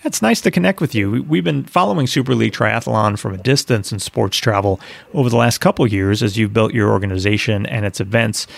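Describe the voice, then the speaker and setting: A man's voice: on the low side, quick pace. A man hosting a podcast interview, reading a scripted intro and asking questions. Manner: smooth scripted intro, then relaxed questions. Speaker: podcast host.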